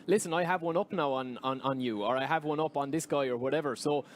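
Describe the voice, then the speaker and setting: A man from Ireland giving excited, chatty color commentary on live esports football. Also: a little high, fast talker, slight background noise.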